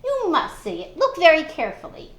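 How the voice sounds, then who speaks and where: Woman playing sly, insistent trickster spider, very animated. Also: very high-pitched, brisk, echoey room, pitched above the performer's own voice, exaggerated voice. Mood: exasperated, insistent. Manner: eager, insistent, exclamatory. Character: sly, greedy, short-tempered male trickster spider Anansi.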